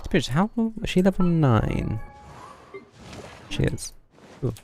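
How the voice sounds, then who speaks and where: A man's voice: a little high, sing-song, slow. A man streaming a video game with casual live commentary, reading dialogue aloud and mumbling through parts of it. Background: game music.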